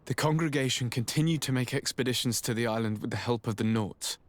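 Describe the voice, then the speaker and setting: A male actor as a loyal young diplomat in a fantasy game. He speaks in a mid-range voice with measured, formal phrasing. He comes across as earnest, resolute and protective. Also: medium pitch, no room sound, close to an everyday voice. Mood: grave recounting. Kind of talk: dramatic video game acting.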